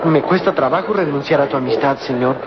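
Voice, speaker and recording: Guy playing loyal young servant kid in old radio show, highish voice, earnest, muffled n really noisy. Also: a little fast, close to an everyday voice. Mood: devoted, earnest. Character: loyal young male servant boy, cautious, devoted, talkative. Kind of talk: old radio serial acting.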